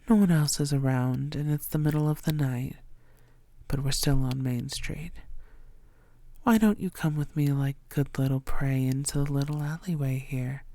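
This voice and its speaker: A woman playing a possessive, flirtatious, menacing vampire in an intimate roleplay audio, teasing in breathy half-whispers with slow, deliberate delivery. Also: a bass voice, mellow, no echo.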